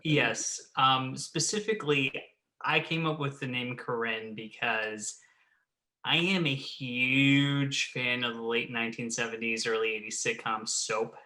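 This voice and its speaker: Male writer-director, very bright and forceful tone, mid-pitched, relaxed conversational panel chat. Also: measured pace. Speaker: writer-director of an audio drama, panel guest. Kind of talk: casual retrospective chat.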